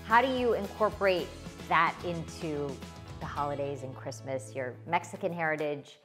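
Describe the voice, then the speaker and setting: A woman's voice: low-pitched, moderate pace, music in the background. A woman hosting a cooking show, chatting with her guest, enthusiastic with playful reactions. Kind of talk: casual interview chat.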